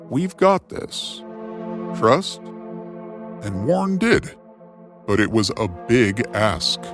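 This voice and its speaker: A man reading a scripted adventure story in a theatrical, suspenseful way, as its narrator. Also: on the low side. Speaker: storyteller, narrator of a fiction series. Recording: music bed under the voice. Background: music.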